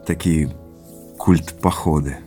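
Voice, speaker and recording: An actor playing an easygoing, laconic boyfriend in a naturalistic audio drama, with an understated delivery. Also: male voice, a bass voice, steady tone, slow pace, mellow. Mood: easygoing, curious. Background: music underneath.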